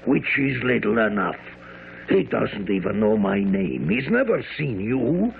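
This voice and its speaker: A scheming, persuasive crook in an old radio play. His voice is very creaky and badly husky, swinging widely in pitch through a tinny broadcast sound. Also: very animated, measured pace, slight background noise, heavily acted. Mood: scheming, reassuring. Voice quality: gravelly, husky. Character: scheming male criminal, confident and persuasive. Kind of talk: radio drama acting.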